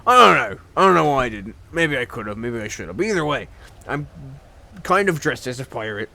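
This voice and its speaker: A young man casually telling rambling anecdotes in a halting way, repeating words and often chuckling. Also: medium pitch, sing-song, gravelly, bright, forceful tone.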